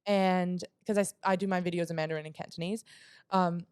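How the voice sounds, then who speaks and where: A woman's voice: lowish voice, fairly even intonation, fairly quick, a little mellow, clean tone. Giggly, chatty young woman. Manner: chatty, giggly, filler-heavy. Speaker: guest vlogger. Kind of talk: casual podcast chat.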